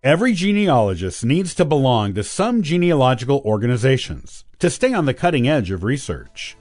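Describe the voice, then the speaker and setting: Lively, dry-sounding male podcast host. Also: medium pitch, brisk, dry recording, faint music behind. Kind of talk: scripted instructional podcast narration.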